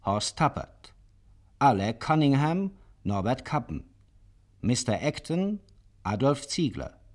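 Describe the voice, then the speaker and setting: A man working as a radio announcer, formally reading out scripted credits. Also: medium pitch.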